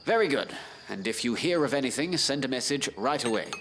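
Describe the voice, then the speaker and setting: An actor playing a polite Victorian gentleman in an audio drama. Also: mid-range voice, expressive, brisk, noisy background, natural voice. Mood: brisk, concerned. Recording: music and clinking behind.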